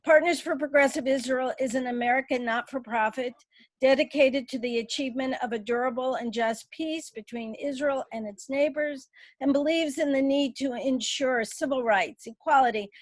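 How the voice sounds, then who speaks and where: High-voiced woman moderating a webinar. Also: normal speed, dry recording. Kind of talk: reading a scripted introduction, then giving instructions. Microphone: webinar call.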